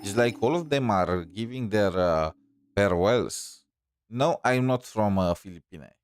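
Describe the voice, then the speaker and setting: A man giving casual live-stream commentary on a game, halting as he thinks aloud. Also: on the low side, expressive. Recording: stream with faint game music.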